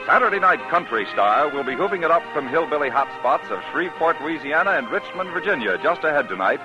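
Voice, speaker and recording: A man's voice: a little high, very animated, fast talker. An American man announcing on 1950s radio, reading a script in a polished, emphatic way. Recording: dull vintage radio broadcast, faint music.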